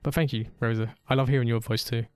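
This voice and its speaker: Let's-play host, male, relaxed and a bit mumbly, mid-pitched casual chatter. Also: fast talker, clear, resonant tone, no room sound, clean studio sound, soft background music. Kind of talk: casual gameplay commentary.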